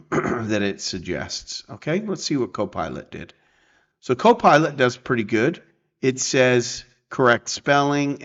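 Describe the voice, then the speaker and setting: Male tutorial presenter, mid-pitched, informal and explanatory, slightly muffled lo-fi voice-over. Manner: informal, explanatory, checks in with "okay" and "right". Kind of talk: casual screen-share software tutorial.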